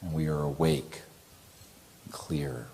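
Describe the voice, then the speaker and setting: A man leading a guided meditation as the teacher, speaking in a gentle, calm, soothing and measured manner. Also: a bass voice, slight background noise.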